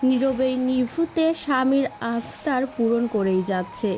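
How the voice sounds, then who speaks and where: A woman's voice: high-pitched, unhurried, darkish tone, a little hiss or hum. A woman reading a scripted story as its narrator. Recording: dull muffled sound with music behind.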